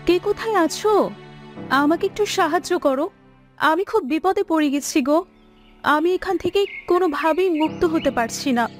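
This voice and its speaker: A woman's voice: very high-pitched, normal speed, faint music behind. A woman voicing a plaintive, polite young princess in a fairy tale cartoon. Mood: distressed, pleading. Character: pleading young princess, lost and helpless.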